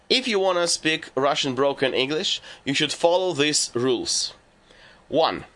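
Slow, bright-voiced male teacher. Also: medium pitch. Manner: measured, deliberate delivery of lists and rules. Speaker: language teacher. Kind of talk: scripted instructional narration.

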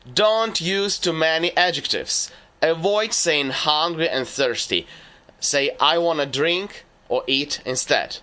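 A man giving scripted narration for a language lesson, laying out rules and lists in a measured, deliberate way. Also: a little high, sharp tone. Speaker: language teacher.

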